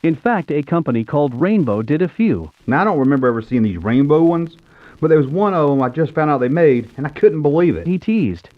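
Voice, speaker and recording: Animated American man, tinny narrow sound. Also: on the high side, fairly quick. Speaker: YouTube show host. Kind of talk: scripted nostalgic narration in read-along storybook format.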